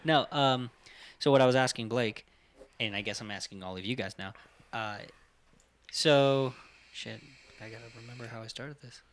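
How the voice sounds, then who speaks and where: A man on a casual podcast with a loud, expressive, teasing way of talking. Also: medium pitch, moderate pace, long pauses, a little hiss or hum. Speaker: podcast co-host. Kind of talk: casual chat. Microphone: XLR studio mic.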